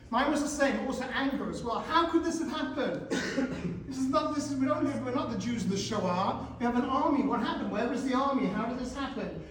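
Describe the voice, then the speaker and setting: A man in his forties giving a talk to teenagers in a school hall, animated and questioning the audience. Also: very high-pitched. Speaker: guest speaker. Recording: echoey hall, speaker far from mic.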